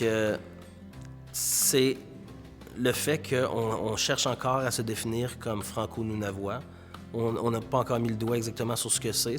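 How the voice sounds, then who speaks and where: Low-voiced Canadian French man over guitar music. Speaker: school educator. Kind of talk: spontaneous interview answer.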